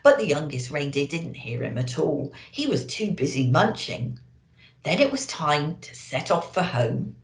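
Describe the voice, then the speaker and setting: Slow, animated woman storyteller, echoey room. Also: fairly low pitch. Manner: theatrical, addressing young listeners. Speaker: children's story reader. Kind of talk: expressive storybook narration for children. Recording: echoey, roomy sound.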